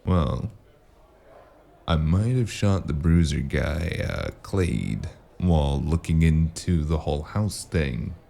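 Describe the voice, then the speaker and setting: Male actor for terse cyberpunk gumshoe, audio drama. Gravelly fry, dark mellow tone, unhurried and dryly flippant. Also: expressive, full character voice. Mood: dry, defensive. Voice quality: very deep, gravelly. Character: laconic, world-weary male cyberpunk noir investigator, dryly flippant. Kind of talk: noir audio drama acting.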